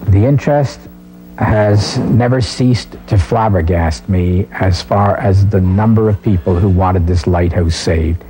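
A man's voice: low voice, a little slow, mellow, low-quality recording. An older man recalling events in an interview, recorded indoors on a lapel mic. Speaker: interviewee, committee vice chairman. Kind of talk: interview recollection. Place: indoor room.

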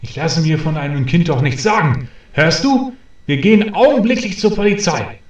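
A man's voice: fairly high pitch, lively intonation, normal speed, lightly acted. A man as a grumpy watchman, echoey and distant. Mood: indignant, scolding. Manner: grumbling, scolding. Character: grumpy, bossy subway station night watchman. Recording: roomy, distant, with ambient noise.

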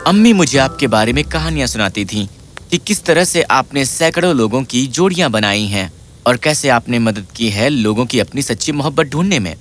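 A man voicing an earnest, excitable, lovelorn young poet in a cartoon fairy tale, with dramatic delivery. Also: mid-range voice. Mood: earnest pleading. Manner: dramatic, pleading, repeats himself. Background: music.

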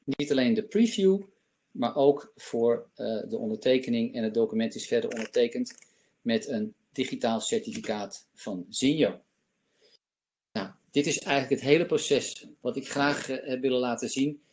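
A man in his fifties giving a live software demo and answering questions on a video call, talking with many fillers from a home office. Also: medium pitch, moderate pace. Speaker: company presenter. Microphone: laptop webcam on video call.

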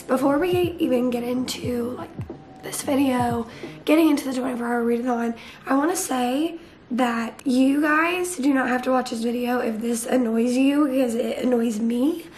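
Young female vlogger, high and hoarse from being sick, chatty and casual; echoey bedroom sound. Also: moderate pace, darkish tone, faint music behind. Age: young adult. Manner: chatty and upbeat, fillers like "like". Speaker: book vlogger. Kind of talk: casual vlog chat to camera. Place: small bright bedroom.

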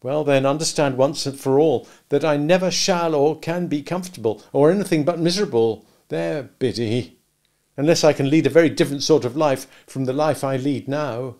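A man's voice: medium pitch, animated, moderate pace, voice pushed up, close to an everyday voice. A man voicing a restless, discontented young village man who speaks earnestly and impatiently.

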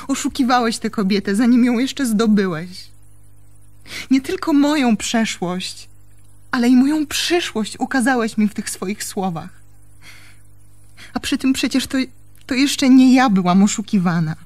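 An actress playing a troubled young woman in a radio play, a devoted but reproachful lover speaking earnestly and haltingly. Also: a little high, darkish tone, lightly acted. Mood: hurt, reproachful. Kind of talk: Polish radio play acting.

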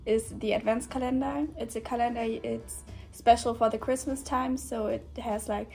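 An eighteen-year-old woman from northern Germany with a German accent, speaking English as a second language in a relaxed chat full of fillers. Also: on the high side, normal speed, vocal fry, faint music behind. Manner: relaxed, many fillers like "like" and "yeah". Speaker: guest volunteer. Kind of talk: casual chat on camera. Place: indoor room with shelves.

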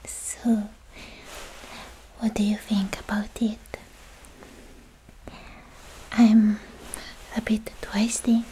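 A woman playing a shy, lovestruck young demon slayer in a whispered, halting ASMR roleplay, sweet and romantic, close on the microphone. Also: mid-range voice, flat delivery, a little hiss or hum, natural voice, low-quality recording. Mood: bashful, tender. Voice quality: soft, breathy whisper. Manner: hesitant, bashful, halting with many pauses.

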